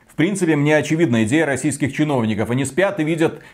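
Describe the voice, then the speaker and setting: A man's voice: a little high, lively intonation, fast talker, a little mellow. A man giving opinionated, casual commentary as a podcast host, blunt, sarcastic and exasperated. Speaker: gaming news podcast host.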